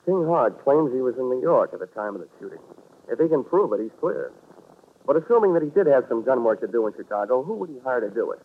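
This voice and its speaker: A man's voice: medium pitch, very animated, fast. A man playing a self-assured, wisecracking American private investigator in a 1940s radio drama, dry and hard-boiled in delivery. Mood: businesslike, wry. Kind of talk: 1940s radio detective acting. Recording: noisy, narrow-band 1940s radio recording.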